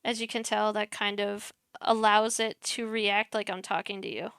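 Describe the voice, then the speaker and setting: A young woman streamer, soft-spoken and chatty, walking viewers through her streaming setup on a headset mic while muttering as she clicks around. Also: medium pitch, flat delivery, normal speed, gravelly, clean tone, dry recording, studio quality. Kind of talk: casual screen-share tutorial.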